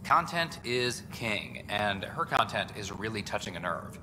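A weary but eager reporter in a space game, pitching his work with quick enthusiasm. A long reverb hangs on his voice, and a clear ambient hum runs behind. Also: animated. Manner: eager, fast-talking, a little weary. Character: tired, eager male news reporter. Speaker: news reporter.

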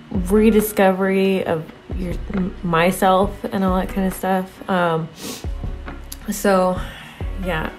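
Young female vlogger, slow, slightly raspy, hesitant, echoey home room. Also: lowish voice. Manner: halting, many fillers and restarts. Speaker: art vlogger. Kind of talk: casual confessional talk. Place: home studio room with desk and monitors.

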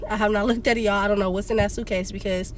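A woman's voice: mid-range voice, fairly even intonation, racing, slight background noise. A young woman vlogger, sick and congested, telling a story animatedly and venting in a parked car, filmed on a phone. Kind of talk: casual vlog rant. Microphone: phone camera on dashboard.

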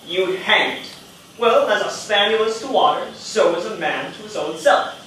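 A man playing a principled, unbending English statesman in a period stage play, with measured, declamatory delivery. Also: sits high, animated, sharp tone. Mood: impassioned. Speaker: stage actor. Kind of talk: theatrical period stage acting. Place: large theatre stage, indoors. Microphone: distant camera in the audience. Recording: echoey theatre from far off, background noise.

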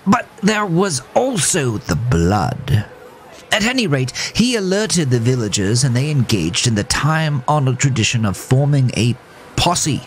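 A man's voice: medium pitch, very animated, a little slow, slight background noise, voice pushed up. A man voicing a genteel, eccentric horse in a tuxedo, speaking in prim, theatrical, old-fashioned formal phrases for a horror audiodrama. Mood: grimly gossipy. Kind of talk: horror audiodrama character acting.

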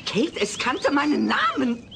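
An actress playing an excitable, frightened and insistent neighbour woman in a sitcom audio drama. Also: high voice, a little slow, gravelly, full character voice. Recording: faintly noisy old cassette.

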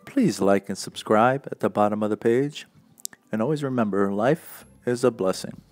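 A man's voice: lowish voice, normal speed, darkish tone. A man hosting a children's read-aloud, reading a rhyming picture book to young listeners. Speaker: host and children's story reader. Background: music.

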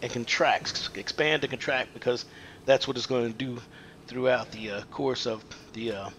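A man working as a plumber, explaining a pipe installation in an unfinished house, pausing often with fillers. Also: mid-range voice, steady tone, a bit bright, slight background noise. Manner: halting, many "uh" fillers. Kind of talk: hands-on how-to explanation. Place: unfinished house under construction, indoors.

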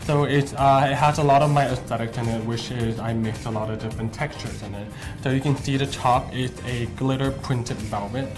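A young man in his twenties talks through his collection with very little pitch movement. His voice sits mid-range and stays matter-of-fact. Background music plays under him in the studio. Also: steady tone, a little fast. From Vancouver, Canada. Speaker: fashion designer guest. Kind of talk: describing his work in an interview.

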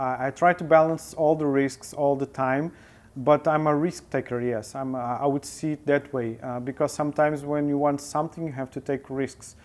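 Portuguese-accented man, thoughtful and hesitant. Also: a little high. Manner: thoughtful, frequent filler hesitations. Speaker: interview guest, photojournalist. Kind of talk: casual interview answers. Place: indoor studio with sofa. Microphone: lapel.

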